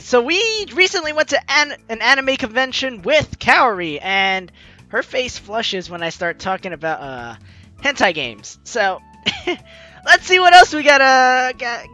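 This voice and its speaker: A man doing casual let's play commentary, reading game text aloud and adding teasing, sarcastic asides. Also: extremely high pitch. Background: soft game music.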